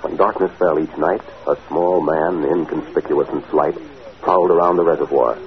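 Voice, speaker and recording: A man's voice: rumbling low, steady tone, moderate pace. A man delivering scripted narration for a 1940s radio drama. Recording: tinny 1940s radio recording.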